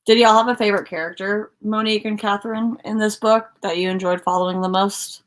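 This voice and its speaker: A woman's voice: mid-range voice, fairly even intonation, a little fast. A woman co-hosting a book livestream, chatting casually in a rambling, informal way. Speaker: co-host of a book discussion livestream.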